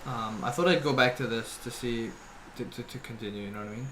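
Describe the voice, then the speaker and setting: A man's voice: mid-range voice, fast talker, a little hiss or hum, rough recording. A young man streaming a game, chatting casually and rambling with his viewers. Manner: rambling, laid-back, uses bro and dude. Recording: loud game music behind the voice. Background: loud video game music.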